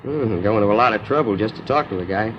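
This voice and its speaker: An actor playing a nosy, working-class city cab driver who talks in a colloquial, folksy, inquisitive way in a 1950s radio drama. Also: male voice, on the low side, fast, natural voice. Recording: dull, hissy 1950s radio recording.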